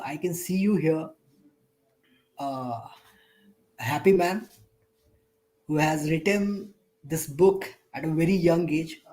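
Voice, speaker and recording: A man's voice: fairly high pitch, darkish tone, low-quality recording. Man moderating, rephrasing his questions. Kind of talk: festival panel moderation.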